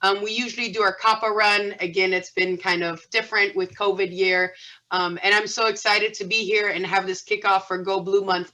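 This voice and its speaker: A woman's voice: lowish voice, bright, forceful tone, dull audio. A woman speaking as a nonprofit board president, presenting at an online event over a video call.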